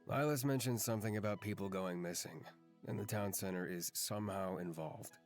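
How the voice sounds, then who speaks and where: A man's voice: fairly low pitch, fairly quick, faint music behind, natural voice. A man voicing a pragmatic, blunt and sardonic companion in a fantasy visual novel, cautious and easily exasperated. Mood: calm, grave.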